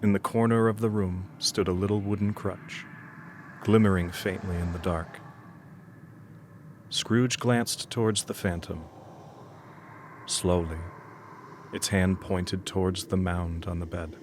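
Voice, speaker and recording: A man's voice: low voice, steady tone, a little slow, pauses often, a little hiss or hum. A man narrating an audio drama as a grave, solemn storyteller with an ominous manner.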